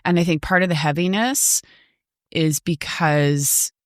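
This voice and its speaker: A middle-aged woman in reflective, casual podcast conversation, phrasing her thoughts in a halting, thoughtful way. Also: low voice, no echo, studio quality. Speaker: podcast host.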